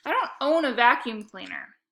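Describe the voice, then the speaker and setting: A woman's voice: sits high, very animated, moderate pace, a bit bright, heavily acted. A woman voicing a puzzled, matter-of-fact dad in a children's storybook.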